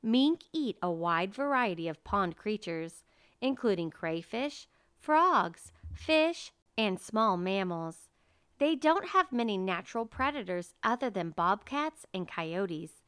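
A woman reading a children's nature picture book aloud as narrator, in a measured, carefully enunciated way. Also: medium pitch, animated, a bit bright, clear, resonant tone, no echo, clean studio sound.

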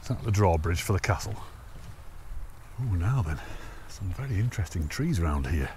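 A man in his thirties with a lowish voice talks into a handheld camera while walking outdoors. He is chatty and enthusiastic. Faint outdoor ambience sits behind him. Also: expressive, normal speed, darkish tone, slight background noise. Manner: chatty, enthusiastic, laughs to himself. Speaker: hiking vlogger. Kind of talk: casual walking commentary. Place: outdoors on open fells and woodland paths. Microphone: handheld camera at arm's length.

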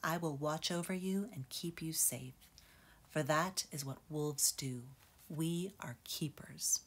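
A woman voicing a tender mother wolf, speaking softly and slowly in a near whisper. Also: deep voice, breathy edge, heavily acted. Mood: soothing. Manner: soft, slow, whispering. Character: tender mother wolf lulling cubs to sleep.

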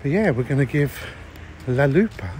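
A man's voice: medium pitch, expressive, moderate pace, crowd noise. An older man chatting casually as he films a travel vlog at an outdoor beach restaurant. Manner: chatty, filming as he walks and eats. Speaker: vlog host. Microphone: handheld phone camera. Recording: outdoor phone audio with background music.

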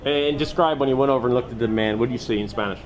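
A man reporting from the street, asking a witness quick, stumbling questions. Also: medium pitch, a crowd in the background. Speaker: news reporter. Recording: street noise behind.

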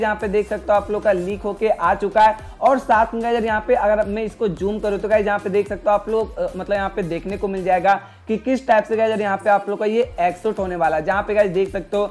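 A man's voice: sits high, darkish tone. A man with an Indian accent doing a casual gaming news voice-over as a YouTube host, repeatedly addressing his listeners. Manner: repetitive, addresses listeners constantly as friends. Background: background music.

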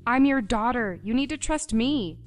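A woman playing a sheriff's composed, defiant daughter in a radio play, calm and firm. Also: a little high, normal speed, soft background music, lightly acted. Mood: calmly defiant.

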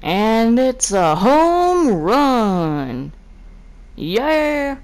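Woman with wildly swinging pitch, slow delivery. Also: very animated, darkish tone, slight background noise. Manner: exclamatory, clipped catchphrases. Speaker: gaming video creator. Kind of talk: playful improvised voice-over of game character animations.

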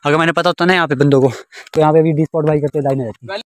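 A man's voice: fairly high pitch, fairly even intonation, very fast. A young man chatting casually through a travel vlog, outdoors on mountain roads and trails, recorded on a phone or helmet camera. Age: young adult. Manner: chatty, calls viewers brother constantly. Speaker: vlogger. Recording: wind and traffic noise outdoors.

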